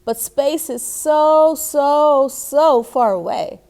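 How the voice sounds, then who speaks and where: A woman's voice: sits high, extremely slow, a little mellow, voice pushed up, heavily acted. A woman voicing a dreamy, eager little girl in a storybook, earnest and full of wonder. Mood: wondering, doubtful.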